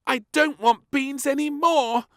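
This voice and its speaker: A man voicing an excitable, bossy little girl princess in a children's storybook reading. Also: very high-pitched, a little slow, no room sound, clean studio sound, voice pushed up. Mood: bellowing.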